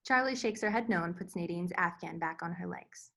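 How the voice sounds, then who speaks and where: A woman's voice: fairly low pitch, a little fast, gravelly, sharp tone. A woman giving scripted narration, reading out scene headings and action at a screenplay table read. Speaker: narrator reading stage directions.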